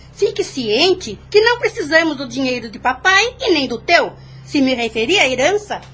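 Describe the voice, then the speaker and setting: A woman's voice: high-pitched, fairly bright tone, slight background noise, heavily acted. A Brazilian woman playing a haughty, indignant aunt in a formal audio drama, speaking with scorn. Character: indignant, haughty aunt contesting her father's will.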